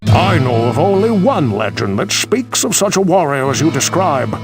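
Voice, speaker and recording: An actor playing a grave, scholarly sage in a video game, telling ancient lore in a solemn, dramatic manner. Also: male voice, on the high side, very animated, moderate pace, low-quality recording. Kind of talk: video game voice acting, storytelling. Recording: loud music behind.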